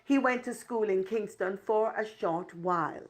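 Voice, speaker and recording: A woman's voice: medium pitch, measured pace. A woman giving formal scripted narration for a news report. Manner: formal, even news delivery.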